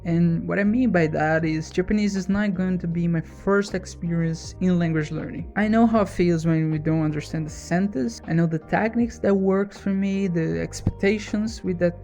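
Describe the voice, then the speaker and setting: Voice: mid-range voice, moderate pace, few pauses, darkish tone, faint music behind. A speaker from Brazil with a Brazilian accent and Portuguese as a first language, narrating a personal vlog from a script as a language learner.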